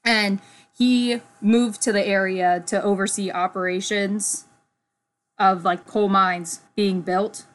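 A woman's voice: mid-range voice, fairly even intonation, slow pace. A young woman co-hosting a casual podcast, chatty and giggly, reading history from her notes with lots of "like". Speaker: podcast co-host. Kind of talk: casual chat.

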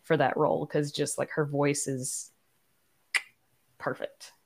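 A woman's voice: low-pitched, flat delivery, slow pace, vocal fry. A woman speaking as a podcast guest in a candid, casual interview, enthusiastic and effusive. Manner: enthusiastic, effusive about colleagues. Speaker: podcast guest, writer and producer.

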